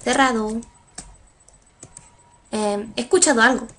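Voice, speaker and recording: A woman's voice: a little high, fairly even intonation, takes it slowly, background noise. Giggly young woman playing a game. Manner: giggly, reads game text aloud, squeals when startled. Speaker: let's play gamer. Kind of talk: casual gameplay commentary.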